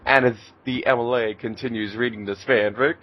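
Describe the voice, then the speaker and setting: A man's voice: mid-range voice, fairly even intonation, a little fast, highs cut off. A man hosting and narrating an amateur fan fiction reading informally, with casual asides and stumbles. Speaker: narrator and host.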